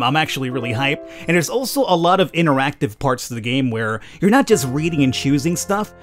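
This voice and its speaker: A man gives casual let's play commentary as a gaming host. His medium-pitched voice is enthusiastic and informal. Faint music plays behind him.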